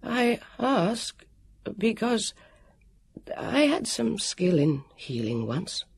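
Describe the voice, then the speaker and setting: A woman voicing a nervous, gentle male warrior in a storybook, with a high, quavering voice and wordy, hesitant politeness. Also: animated, a little slow, slightly rough, full character voice. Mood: nervous concern.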